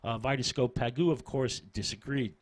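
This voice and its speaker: A man hosting a radio talk on film history, half-reading, with frequent hesitations and drawn-out stress on names. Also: medium pitch, slight background noise. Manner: hesitant, frequent ums and repeated words. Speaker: radio show host.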